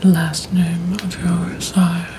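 A young woman playing a polite, poised vampire receptionist at a blood bank in a soft, breathy, deliberate ASMR roleplay. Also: fairly low pitch, flat delivery, background noise. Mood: polite, businesslike. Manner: slow, deliberate, hushed, formal.

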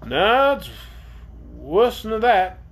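A woman voicing a gruff, cautious old sailor man from a storybook, plain-spoken and using folksy dialect. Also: medium pitch, lively intonation, brisk. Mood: dismayed, cautious.

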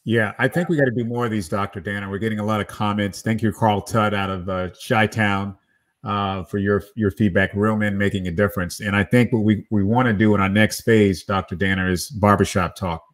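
Low-voiced man moderating a panel. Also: brisk. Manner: animated, keeps addressing panelists by name. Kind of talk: hosting a live video-call panel. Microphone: video call webcam with desk microphone.

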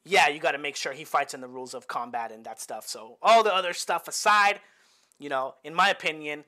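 Bay area guy coaching over fight tape, casual and talky, bright edgy voice. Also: fairly high pitch, brisk. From Bay Area, United States. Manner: chatty, tags many lines with "right?", laughs at own jokes. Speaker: boxing coach. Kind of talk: casual fight-film breakdown.

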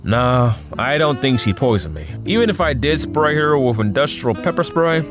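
A man's voice: mid-range voice, sing-song, normal speed, over music, lightly acted. A man acting in an audio drama as a weary but wry young superhero student, talking hesitantly with self-deprecating humour. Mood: weary, troubled.

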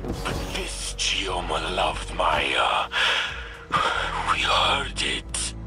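A man voicing a big-hearted, remorseful robotic warrior in a video game, earnest and heartfelt, with a deep gravelly voice. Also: very slow, heavily acted. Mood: anguished. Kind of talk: dramatic video game dialogue. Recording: music playing behind.

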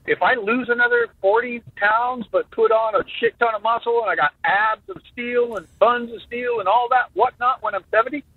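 A man in his fifties talks in an animated, joking way, often restarting his sentences. His voice sits high and swoops around, with a husky edge. It comes through a tinny, phone-like line with no room sound. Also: dry recording. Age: 50s. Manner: animated, halting restarts, jokey. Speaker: guest drummer. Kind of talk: casual interview talk.

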